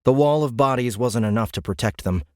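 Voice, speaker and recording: A man narrating a military science fiction audiobook in a measured, grave, dramatic way. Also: medium pitch, a little mellow, no room sound, studio quality. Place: dry recording studio.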